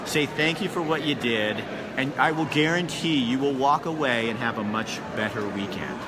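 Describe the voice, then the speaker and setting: Earnest man livestreaming from busy street. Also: medium pitch. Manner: earnest, preachy, chatty. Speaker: livestream host. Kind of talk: casual live interview and appeal. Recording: loud outdoor traffic noise.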